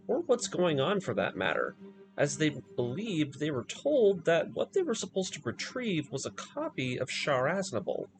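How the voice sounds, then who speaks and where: A man's voice: a little high, low-quality recording. A man narrating a scripted video review, moving between commentary and story recap.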